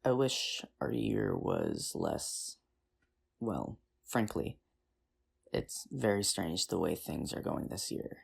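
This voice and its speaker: A performer in an ASMR roleplay playing a nerdy, earnest high school teacher, rambling with hesitant, self-deprecating charm in an intimate, conversational way. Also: huge pitch swings, slow pace, gravelly, breathy edge, heavily acted. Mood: thoughtful, candid.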